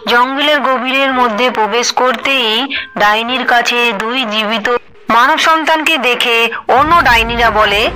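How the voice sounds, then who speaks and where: A woman's voice: on the high side, unhurried, noisy background. A woman narrating a scripted story as the storyteller.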